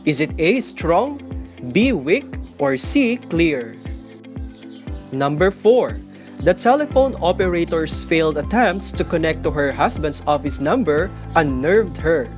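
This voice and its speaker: A woman narrates a scripted school video lesson. Her voice is slightly low for a woman, with very lively intonation, and music plays clearly behind her. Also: a little slow.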